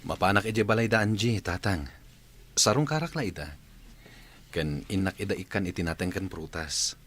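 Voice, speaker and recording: An earnest, lovelorn young son in a radio drama. His voice is lowish yet bright, with a husky rasp. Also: moderate pace. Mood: earnest, respectful. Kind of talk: Ilocano radio drama acting.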